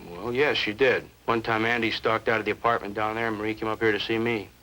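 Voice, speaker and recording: A man's voice: on the low side, steady tone, fast talker, bright, forceful tone. A man playing an earnest, talkative, opinionated neighbor giving a witness account in a 1950s television crime drama. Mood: eager, opinionated. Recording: faintly noisy 1950s television soundtrack.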